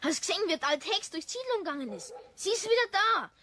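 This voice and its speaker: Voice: very high voice, very animated, fast talker, sharp tone, exaggerated voice. A young performer playing a cheeky, defiant boy prankster with a Bavarian accent in a children's audio drama. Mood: bold, goading.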